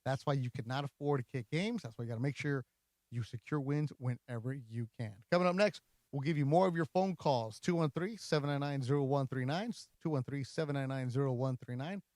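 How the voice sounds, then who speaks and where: A man hosting a sports talk radio postgame show, conversational and energetic, with playful asides to listeners. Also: mid-range voice, brisk, no echo.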